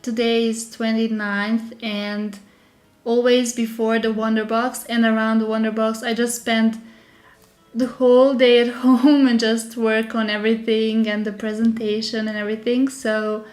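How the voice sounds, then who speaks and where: A woman from Serbia with a Serbian accent, slightly under the weather, chatting casually and haltingly as she hosts a live tutorial. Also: on the high side, a little mellow. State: slightly unwell with a sore throat. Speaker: digital journaling creator hosting a live stream.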